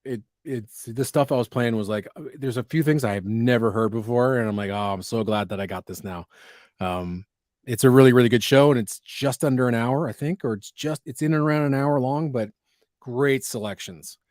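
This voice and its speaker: A man's voice: medium pitch, brisk, darkish tone, no room sound. A man chats casually on a livestream, rambling from one aside to the next. His voice is a little scratchy from allergies. The sound is dry and close, with no room around it. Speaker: podcast host.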